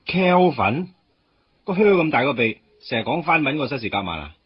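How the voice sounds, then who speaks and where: A man's voice: medium pitch, very animated, normal speed, breathy edge, no room sound, dull audio. An actor with a Hong Kong Cantonese accent playing a wistful, teasing young man in a radio drama, speaking in a wry, colloquial way. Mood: bantering. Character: wistful, teasing young man reuniting with his first love. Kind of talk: radio drama acting with narrated inner monologue.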